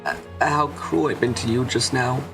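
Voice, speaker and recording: An earnest young man full of remorse, played by a male actor, narrates his own visual novel story dramatically. His pitch is medium. Soft music sits behind him. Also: steady tone, normal speed, close to an everyday voice. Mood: tender, sorrowful.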